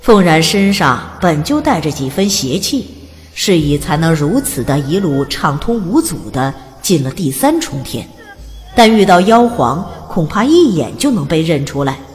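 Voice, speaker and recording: A woman narrating an audiobook with expressive, gently lilting storytelling. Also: deep voice, normal speed, long reverb tail, soft background music.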